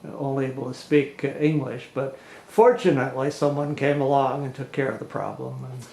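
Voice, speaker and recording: A man's voice: mid-range voice, fairly even intonation, fairly quick, creaky, darkish tone. A man interviewing a guest, asking rambling questions in a friendly way and chuckling between phrases. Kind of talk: oral history interview. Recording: roomy audio.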